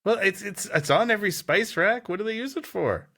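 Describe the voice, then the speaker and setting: Male podcast host: dry, wry story reader, bright voice, lots of pitch movement. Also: expressive, a little fast. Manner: dry, wry reading voice that breaks into joking asides. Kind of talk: casual banter mixed with story reading.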